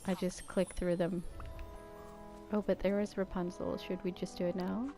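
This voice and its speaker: A woman's voice: fairly low pitch, little pitch movement, normal speed, soft background music. A young Danish woman, a native Danish speaker with an Americanized English accent, chatting casually as she comments on her gameplay in a rambling, Valley Girl YouTube tone. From Denmark. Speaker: gaming YouTuber.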